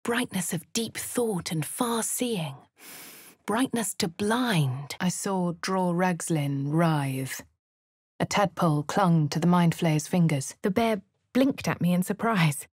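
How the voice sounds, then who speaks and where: An actress playing a posh female adventurer from a video game, narrating her deeds with a husky voice in a posh English accent. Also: lowish voice, slow pace, darkish tone, no echo, clean studio sound. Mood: measured. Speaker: player character voice. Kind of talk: game voice lines, first-person narration.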